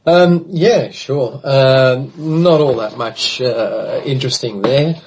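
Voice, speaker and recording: A man in his fifties, raised in Australia and the Netherlands, telling his background slowly in a remote interview, with many pauses and fillers. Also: medium pitch, noisy background. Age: 50s. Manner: hesitant, many ums and restarts. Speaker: interview guest. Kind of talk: casual recorded interview.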